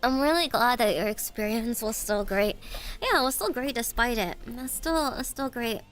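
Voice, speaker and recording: A woman's voice: a little high, animated, normal speed, fairly bright tone, soft background music, low-quality recording. A woman with a congested, stuffy voice, chatting casually with her stream audience, giggling and stumbling over her words. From United States. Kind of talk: casual live-stream chat.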